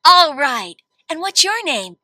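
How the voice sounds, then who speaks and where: A woman studio host speaks in a high, lively voice that swings widely. She sounds like a chirpy presenter guiding children through a scripted session, with a close, dry sound. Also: takes it slowly, no echo. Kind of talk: scripted, upbeat instructions to children.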